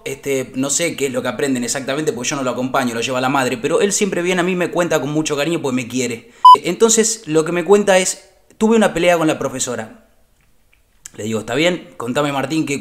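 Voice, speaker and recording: A man with an Argentine accent playing an earnest, aggrieved uncle with solemn, halting, formal speech on a prank call. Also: on the high side, natural voice. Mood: indignant. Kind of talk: improvised prank phone call.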